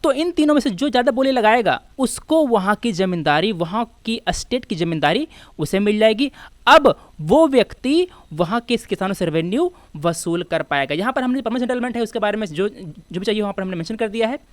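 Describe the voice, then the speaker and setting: A woman's voice: mid-range voice, expressive, fairly quick, dry recording. A woman with an Indian accent lecturing as an exam-prep teacher, explaining step by step with rhetorical questions and repeating key phrases for emphasis. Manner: switches between Hindi and English.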